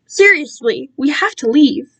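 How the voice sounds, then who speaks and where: A woman's voice: sits high, lively intonation, measured pace, a bit bright, pitched above the performer's own voice. A woman voicing a protective young male captive in a story, urgent, anguished and stammering. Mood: uneasy, urgent.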